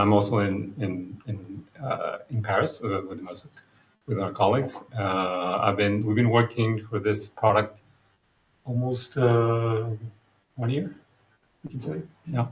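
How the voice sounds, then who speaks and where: A man from Japan, a product manager introducing himself haltingly over a video call. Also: on the low side, pushed and bright, low-quality recording. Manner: halting, stumbling over words. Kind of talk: interview answers.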